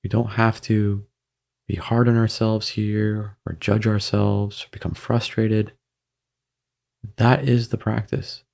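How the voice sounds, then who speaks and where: A man guiding a meditation in a gentle, measured way, with frequent pauses between phrases. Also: on the low side, level tone, gravelly. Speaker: meditation guide. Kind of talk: guided meditation narration.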